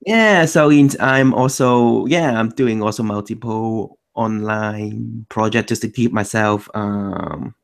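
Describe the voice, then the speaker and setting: A low-pitched man hosts an online language meetup, and his voice carries a gravelly fry. He chats loosely, often breaking off and restarting his sentences. It sounds like a computer mic on a call. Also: takes it slowly, few pauses. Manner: loose, self-correcting, many restarts. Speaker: meetup host. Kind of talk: casual chat.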